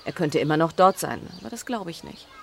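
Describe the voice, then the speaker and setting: Married woman under suspicion: slightly low voice, genteel, slippery, rueful, crime radio play. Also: sing-song, fast talker, gravelly, slight background noise, full character voice. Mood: evasive, uneasy. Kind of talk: radio crime drama acting.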